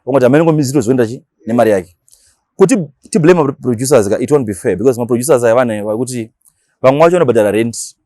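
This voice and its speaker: Very fast-talking Zimbabwean man. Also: mid-range voice, expressive, dark tone, dry recording, clean studio sound. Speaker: radio DJ, interview guest. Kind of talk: casual interview talk.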